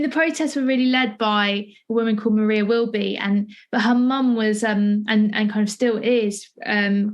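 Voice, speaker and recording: A woman chatting as an interviewer in a tentative, heavily hedged way. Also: mid-range voice. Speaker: interviewer, theatre maker. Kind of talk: casual interview conversation.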